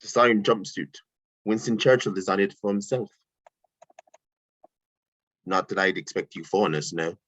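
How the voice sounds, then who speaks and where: A man reading as a snobbish young recruit who mocks everyone around him, with a lowish voice. The recording is dull and very dry. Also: moderate pace, long pauses, fairly bright tone, dry recording. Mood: sneering. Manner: mocking, condescending. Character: snobbish posh young male recruit, sneering. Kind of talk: table read.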